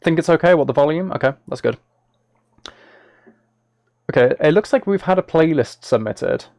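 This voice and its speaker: A man's voice: on the high side, expressive, moderate pace, gravelly, darkish tone, no room sound. A man live streaming, talking casually and a little hesitantly to his viewers as he reads chat and reacts.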